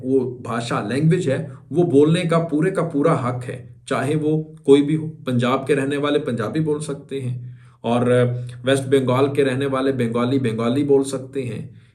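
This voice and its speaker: A man's voice: mid-range voice, a little mellow. A man from Pakistan hosting a news reaction video, lecturing in an indignant, emphatic way.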